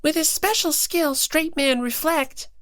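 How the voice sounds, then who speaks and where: Teenage gamer girl acted by a woman, extremely high voice, sweet yet matter-of-fact, close and dry. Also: measured pace, fairly bright tone, no echo. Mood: calm, matter-of-fact. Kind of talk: audiobook character acting.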